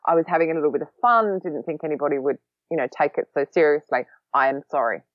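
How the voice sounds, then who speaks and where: Woman, Australian accent, chatty, a bit sarcastic, lowish voice, dry close sound. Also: quick pace, no echo, highs cut off. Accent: Australian, long lived in London. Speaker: podcast guest, social recruiting trainer. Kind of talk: casual podcast interview.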